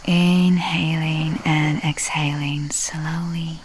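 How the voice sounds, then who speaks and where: Casting: female meditation guide, low and very even, soft half-whispered soothing delivery, long pauses between phrases. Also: slight background noise, low-quality recording.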